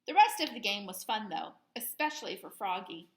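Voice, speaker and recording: A woman host reading a children's story aloud in an expressive, playful way, with plenty of sound words. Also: medium pitch, fairly quick, roomy sound.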